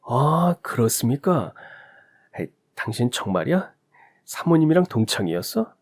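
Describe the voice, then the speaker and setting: A man voicing a cheerful, oblivious middle-aged company boss who sounds brightly surprised. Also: mid-range voice, big pitch swings, moderate pace.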